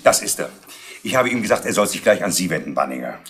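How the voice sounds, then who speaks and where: An actor playing an earnest senior police inspector in a 1970s radio play, official and emphatic. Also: male voice, low voice, big pitch swings, quick pace, a bit bright. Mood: insistent.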